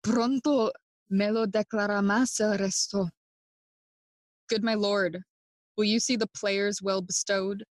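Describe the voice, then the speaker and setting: A woman playing a bitter, grieving young prince in a bilingual classical audio play, speaking theatrical, deliberate verse. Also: medium pitch, steady tone, no echo, natural voice. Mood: wry, courteous. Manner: theatrical, deliberate, switching between English and Spanish.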